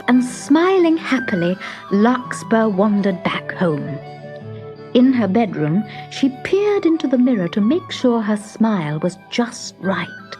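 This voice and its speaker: A woman reading a children's story aloud in an expressive, measured storytelling manner. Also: a little high. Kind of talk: scripted children's story narration.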